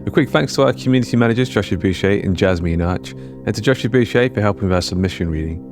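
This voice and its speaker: A man's voice: fairly low pitch, animated, fast, music in the background. A man reading a scripted podcast outro and credits as host.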